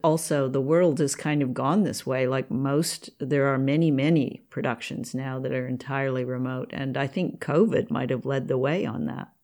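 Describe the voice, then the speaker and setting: A woman's voice: a bass voice, steady tone, normal speed, hardly any pauses, a little mellow. A woman hosting a podcast, speaking in an interview conversation. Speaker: podcast host and producer.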